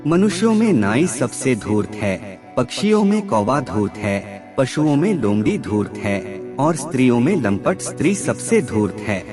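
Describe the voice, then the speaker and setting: A man narrating scripted aphorisms in a measured, deliberate recitation. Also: medium pitch, very animated, music in the background.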